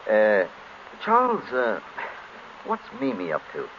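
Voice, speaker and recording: An actor playing a fussy, harried lawyer in a 1930s radio drama, polite and hesitant, on a muffled, hissy old broadcast. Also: male voice, lowish voice, wildly swooping pitch, takes it slowly, full character voice. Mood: hesitant, probing. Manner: hesitant, throat-clearing, polite.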